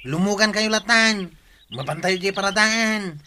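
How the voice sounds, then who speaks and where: A man's voice: high-pitched, unhurried, bright, forceful tone, slight background noise, heavily acted. A man playing a helpful village tricycle driver in an Ilocano radio comedy drama, eager and full of questions, with an Ilocano accent. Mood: helpful, puzzled.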